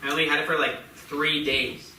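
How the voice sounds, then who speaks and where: A man's voice: on the high side, little pitch movement, moderate pace, close to an everyday voice. An actor playing an aggrieved, flippant teenage boy in a live staged play reading. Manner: flippant, casual, petulant. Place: indoor theatre stage, recorded from a distance. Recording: echoey, noisy theatre recording from far off.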